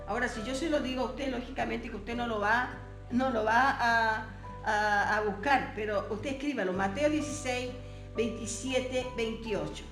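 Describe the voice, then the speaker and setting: A woman's voice: fairly high pitch, moderate pace, fairly bright tone, roomy sound, soft background music. A woman whose first language is Portuguese, teaching a Bible seminar lesson, reading verses aloud and asking rhetorical questions.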